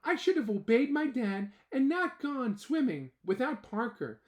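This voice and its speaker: A bright, eager little boy ant from a storybook, as a man puts him on, squeaky high and full of bounce. He swoops up and down as he talks, earnest even when he turns serious and preachy. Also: unhurried, exaggerated voice. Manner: eager, then solemn preachy. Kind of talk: children's storybook reading.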